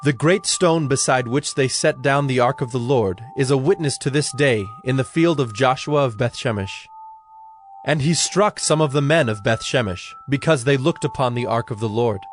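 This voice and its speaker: A man narrating scripture from a script in a measured, solemn storytelling manner. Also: mid-range voice.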